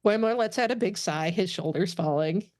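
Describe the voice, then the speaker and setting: A woman's voice: mid-range voice, normal speed, a little mellow, no echo. A woman with a mid-range voice reads stage directions as the narrator of a table read. The sound is dry and close, with little room around her.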